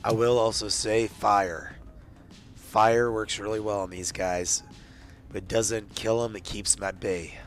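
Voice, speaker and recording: A man's voice: fairly low pitch, level tone, measured pace. A man playing a tabletop roleplaying game, speaking in character as part of a squad. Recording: music playing underneath.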